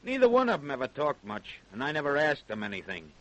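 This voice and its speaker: An actor in an old-time radio crime drama playing a nervous, talkative butcher with an American accent, earnest and colloquial. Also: male voice, mid-range voice, wildly swooping pitch, quick pace, a bit bright, low-quality recording. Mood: relieved, helpful. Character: nervous, talkative butcher eager to clear himself.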